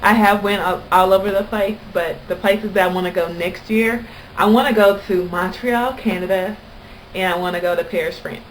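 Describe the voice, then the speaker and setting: An American woman from Kentucky talks to her viewers in an informal, chatty way. Her voice sits at a medium pitch, and she tells things like anecdotes among friends. The amateur recording carries faint noise. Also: fairly even intonation, normal speed, low-quality recording. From Kentucky, United States. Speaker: vlogger. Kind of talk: casual chat to camera.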